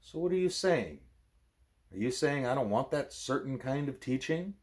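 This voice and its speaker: A man's voice: mid-range voice, animated, moderate pace, pitched above the performer's own voice, close to an everyday voice. A man voicing an angry, defiant twelve-year-old girl in an audiobook, indignant and emphatic. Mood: challenging.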